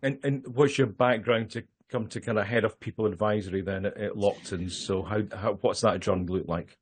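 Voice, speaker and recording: A man's voice: lowish voice, steady tone, husky. Friendly man hosting an interview. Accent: non-Australian. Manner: friendly and halting, with fillers and restarts. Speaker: host.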